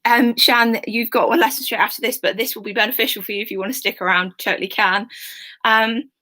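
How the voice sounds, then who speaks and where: A young woman teaching a history class online, chatty and informal with many ums, her voice slightly croaky. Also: fairly high pitch, steady tone, brisk, sharp tone.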